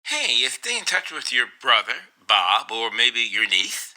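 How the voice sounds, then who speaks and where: A man voices a male doctor in a radio play, checking on a patient with concern and encouragement. His tone is strikingly bright and sharp, and his mid-pitched voice moves animatedly as he coaxes. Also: sing-song, a little slow, heavily acted, rough recording. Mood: encouraging. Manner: solicitous, coaxing.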